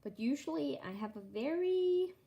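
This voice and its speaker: A young woman from Norway with a Norwegian accent, chatting casually and softly while hosting a stitching livestream and reading viewer comments aloud. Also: sits high, lively intonation, muffled recording. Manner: slow and soft-spoken, reading chat aloud.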